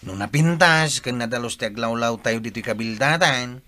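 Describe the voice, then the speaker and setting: Man as bright-voiced, earnest village sidekick. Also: medium pitch, normal speed, natural voice. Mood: encouraging. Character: supportive village man, respectful sidekick to his uncle. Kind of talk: comic radio drama acting.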